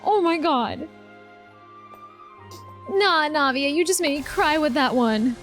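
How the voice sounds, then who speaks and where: A woman's voice: high-pitched, lively intonation, takes it slowly, fairly bright tone. A woman streamer giving casual, gushing, slangy live reactions to a game. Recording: game music behind the voice.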